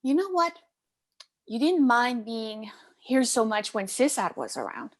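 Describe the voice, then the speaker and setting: A woman's voice: a little high, lively intonation, unhurried. A woman playing a caring but firm middle-aged Cuban aunt in a play table read, coaxing and mixing in Spanish endearments. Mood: exasperated.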